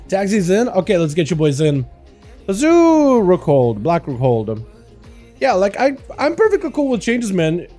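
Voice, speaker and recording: Excitable high-voiced man with slight Russian accent. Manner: fast, excitable play-by-play that turns to loose rambling chat. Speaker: streamer and game commentator. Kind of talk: excited live game commentary mixed with casual chat.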